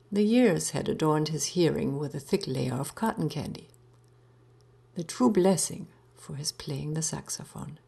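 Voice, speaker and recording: A woman narrating a story in the first person, deliberate and theatrical, sometimes dropping to a hush. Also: deep voice, creaky, slightly rough. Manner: deliberate, theatrical, breaking into hushed phrases.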